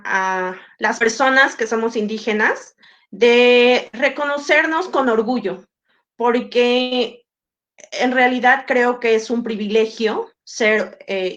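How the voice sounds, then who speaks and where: A woman's voice: a little high, a bit bright. A woman with a southern Mexican accent talking tentatively and conversationally as a guest in an informal panel discussion. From Pinotepa de Don Luis, Oaxaca, Mexico. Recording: dull lo-fi video call audio.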